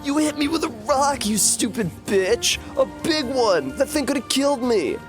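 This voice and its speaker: A man doing a shrill, whiny bully boy. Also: big pitch swings, moderate pace, music in the background. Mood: outraged. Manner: shrill, whining shouts.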